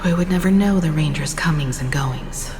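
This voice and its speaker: An actress playing a calm, composed mature woman who leads a crew in a video game, speaking in a measured, reassuring way. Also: deep voice, natural voice. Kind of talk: game cutscene voice acting. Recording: reverb with game music behind.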